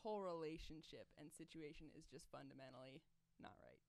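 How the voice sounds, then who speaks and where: A woman's voice: low-pitched, brisk, vocal fry, a little mellow, no room sound. A young woman co-hosting a music show, chatting casually into a close stage microphone. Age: young adult. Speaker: singing co-host. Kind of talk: casual banter. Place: small indoor studio stage with curtain. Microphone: close stage microphone on stand.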